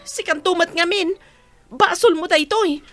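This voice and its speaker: A woman's voice: very high-pitched, unhurried, fairly bright tone, soft background music. A woman playing a scolding, evasive village wife with an Ilocano accent in a comedy radio drama.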